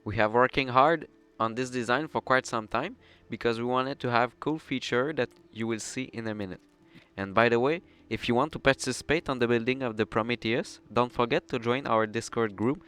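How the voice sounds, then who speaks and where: A man's voice: medium pitch, normal speed, fairly bright tone, smooth, faint music behind. A man with a French accent, slightly under the weather with flu, presenting a scripted build tutorial as a voice-over. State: sick with flu, slightly odd voice. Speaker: presenter of a 3D printer build series.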